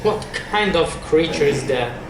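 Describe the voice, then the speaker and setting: A man's voice: a little high, animated, unhurried, noisy background. A man hosting a reaction video, giving casual live commentary in short remarks. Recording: echoey room, loud music behind.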